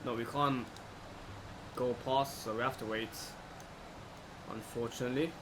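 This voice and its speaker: A man giving casual, low-key let's play commentary, thinking aloud as he goes. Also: measured pace. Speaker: gaming video host. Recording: clear background noise, steady hum.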